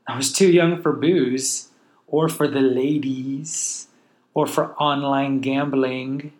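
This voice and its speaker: Wry man telling his life story. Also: mid-range voice. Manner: candid, wry, self-deprecating. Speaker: podcast host. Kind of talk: personal storytelling monologue.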